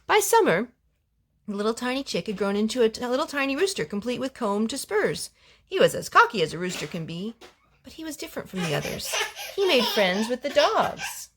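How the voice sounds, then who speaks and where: A woman reading a children's story aloud in an expressive, animated way, with gentle asides to young listeners. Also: on the high side, a little fast, a little hiss or hum.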